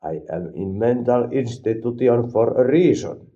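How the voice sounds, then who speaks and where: A man from Finland with a Finnish accent, rambling deadpan through an absurd comedic product review and mixing languages. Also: mid-range voice, measured pace, muffled recording. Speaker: vlogger reviewing an energy drink.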